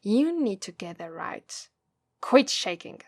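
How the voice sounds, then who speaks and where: Menacing, teasing demoness played by a woman, Italian accent, unhurried condescending delivery, very dry ASMR sound. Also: medium pitch, sing-song, a little mellow, dry recording. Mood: commanding, haughty. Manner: condescending, drawn-out, taunting delivery. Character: haughty, teasing, menacing female demon master. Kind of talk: ASMR roleplay acting.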